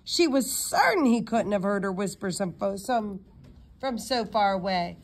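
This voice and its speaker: A woman reading a children's novel aloud in an expressive storytelling manner, now and then stumbling and re-reading words. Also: mid-range voice, unhurried. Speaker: read-aloud narrator.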